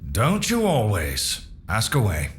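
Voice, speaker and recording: A man playing a calm, cryptic older mentor in a video game cutscene, explaining in a measured way. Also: on the low side, husky, heavily acted. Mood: wry, reluctant. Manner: measured, expository.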